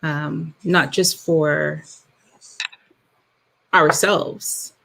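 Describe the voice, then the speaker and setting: Slow, low-voiced reflective woman. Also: steady tone, vocal fry, fairly bright tone, a little hiss or hum, rough recording. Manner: slow, reflective, searching for words. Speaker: guest, declutter coach. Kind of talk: casual interview talk.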